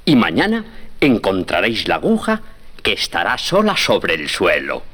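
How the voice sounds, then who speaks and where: A man's voice: low-pitched, wildly swooping pitch, moderate pace, fairly bright tone, slight background noise, exaggerated voice. A man voicing a kindly, reassuring horse in a children's storybook tale. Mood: calm, reassuring. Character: kindly male horse, reassuring old friend.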